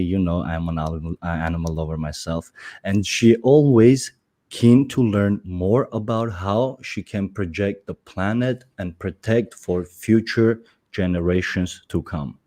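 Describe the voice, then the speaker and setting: A man in his thirties from Armenia hosts a casual interview over a video call. His voice sits low and sounds warm and mellow. He circles his questions with plenty of hesitations, fillers and restarts. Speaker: podcast host.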